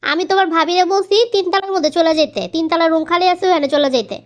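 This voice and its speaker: Stubborn young cartoon wife played by a woman, extremely high childlike pitch, fast complaining delivery, Bangladeshi Bengali accent. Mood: defiant, irritated. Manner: complaining, repeats words. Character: quarrelsome, stubborn young wife and sister-in-law. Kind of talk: cartoon family comedy drama.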